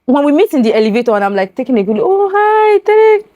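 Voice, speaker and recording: A woman speaking as a guest in a casual chat, playful and expressive as she tells stories. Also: high-pitched, quick pace, muffled recording, slight background noise.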